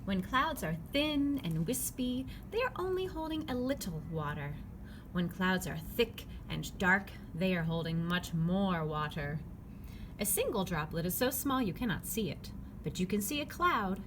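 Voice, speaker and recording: A woman reading a children's picture book aloud, phrasing it clearly and simply for young listeners. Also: medium pitch, expressive, measured pace. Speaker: read-aloud narrator. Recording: clearly noisy background ambience.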